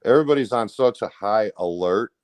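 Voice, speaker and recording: A man's voice: fairly low pitch, animated, brisk, no room sound. A man being interviewed casually, explaining himself defensively in rambling, coarse everyday speech. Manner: rambling, peppered with "you know". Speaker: interview guest.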